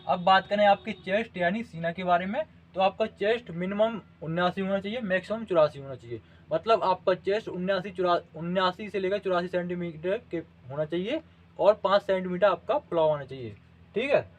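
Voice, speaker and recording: A man giving an informal, instructional explainer as a coaching host, often checking in with the listener. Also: sits high. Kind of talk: informal explainer of job vacancy details. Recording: dull lo-fi audio.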